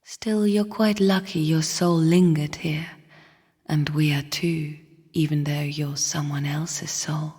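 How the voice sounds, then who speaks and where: An actress playing a bleak, bitter female spirit in a video game, speaking in a breathy, husky whisper with a hushed, cryptic, scornful manner. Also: deep voice, steady tone, slow pace, heavily acted. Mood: ominous. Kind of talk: video game character monologue. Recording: long reverberant hall echo.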